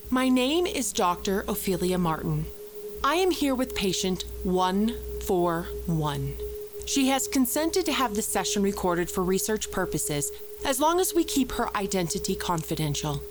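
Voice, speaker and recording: An actress playing a calm, controlled psychologist who speaks in a measured, coaxing way in an audio drama therapy session. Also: female voice, medium pitch. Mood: formal. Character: calm, soothing, controlled female psychologist hypnotist. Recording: noisy old cassette tape with hum.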